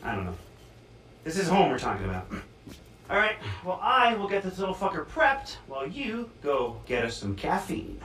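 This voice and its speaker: An actor playing a manic, foul-mouthed young punk hacker in a video game, theatrical and wildly excitable. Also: male voice, sits high, normal speed, echoey room, recorded from a distance, a little hiss or hum, heavily acted. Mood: wry, bossy. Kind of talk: video game cutscene acting.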